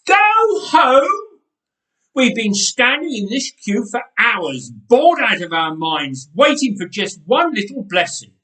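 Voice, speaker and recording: A man's voice: sits high, very animated, takes it slowly, pushed and bright, pitched above the performer's own voice, exaggerated voice. Man doing a whiny cheeky young boy. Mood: indignant. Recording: roomy church echo.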